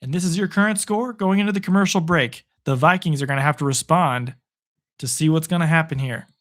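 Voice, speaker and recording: A man streaming live football reactions, his voice worn hoarse after a long session. He sits a bit higher in pitch than most men and keeps up a chatty, upbeat stream of commentary and replies to viewers. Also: fairly quick, a bit bright. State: hoarse from hours of talking. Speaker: sports streamer. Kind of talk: live play-by-play and chat reactions.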